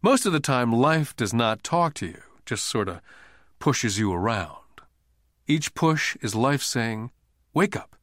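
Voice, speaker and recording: A shrewd grown-up boss and mentor, read by a man for an audiobook. He lectures in a wry, measured manner, with lively swings of pitch and a dry, close sound. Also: no echo. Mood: calm, instructive.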